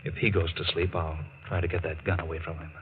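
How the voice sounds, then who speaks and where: Old-time radio leading man for a male actor: stern, dependable, deeper voice, commanding, slightly noisy archive sound. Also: flat delivery, fast talker, close to an everyday voice. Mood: grave, protective. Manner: firm, commanding, earnest. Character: steady, protective, stern hero stranded in wilderness. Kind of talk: 1940s radio drama acting. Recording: muffled, noisy 1940s radio recording.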